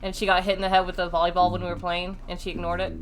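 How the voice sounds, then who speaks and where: A woman's voice: on the low side, completely flat delivery, rapid-fire, rough recording. A woman chatting casually over gameplay, reminiscing, giggling and swearing lightly. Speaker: co-host.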